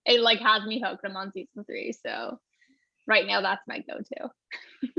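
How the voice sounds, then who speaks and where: A woman's voice: mid-range voice, fairly even intonation, measured pace, creaky, bright, forceful tone, dry recording. A singer-songwriter in her twenties chatting casually in an interview, bubbly, laughing often and saying "like" all the time. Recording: dull, dry, lo-fi sound.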